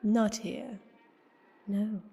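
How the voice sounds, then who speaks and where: An actress playing a gleeful, gloating alien time-meddler villain in an audio drama, with a theatrical, taunting, giggling delivery. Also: female voice, medium pitch, steady tone, drawn-out words, vocal fry, mellow, slightly rough, heavily acted. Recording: long cavernous reverb.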